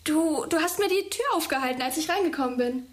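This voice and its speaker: A woman's voice: high-pitched, expressive, quick pace. A young woman playing a timid, frightened but candid teenage schoolgirl in a naturalistic radio drama, hesitant and plain-spoken. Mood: questioning.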